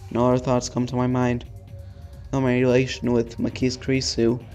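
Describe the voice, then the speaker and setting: A man's voice: medium pitch, monotone, moderate pace, darkish tone. A man hosting a let's play, reading visual novel narration aloud dramatically and stumbling over the text now and then. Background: faint game music.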